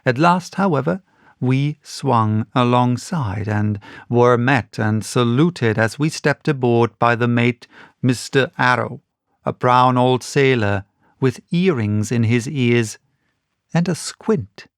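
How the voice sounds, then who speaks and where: A man reading a classic story as scripted narration, deliberately and in short measured phrases. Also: mid-range voice, smooth, dry recording, clean studio sound. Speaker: audiobook narrator.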